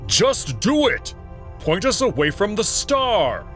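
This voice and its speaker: A man's voice: sits high, very animated, takes it slowly, faint music behind, voice pushed up, heavily acted. A man doing a fierce alien pilot barking orders. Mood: shouting. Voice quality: shouting, urgent commands.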